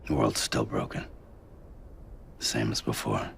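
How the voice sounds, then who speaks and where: An actor playing a withdrawn, weary courier in a game cutscene, speaking in terse, subdued lines with a gruff voice. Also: male voice, measured pace, slight background noise, heavily acted. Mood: bleak, resigned. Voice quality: deep, gravelly. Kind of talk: dramatic video game cutscene acting. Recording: music under the voice.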